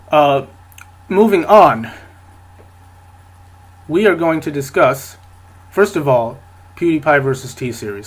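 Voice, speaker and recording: Man with a stuffy nose from a cold. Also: mid-range voice. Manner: rambling, many fillers and restarts. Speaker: podcast host. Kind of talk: solo podcast commentary.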